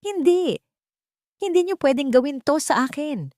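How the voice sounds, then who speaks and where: A woman voicing a scornful, desperate young rich woman in a melodramatic audio story, with shrill protests and threats. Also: animated, unhurried, mellow, no echo, studio quality. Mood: desperate fury.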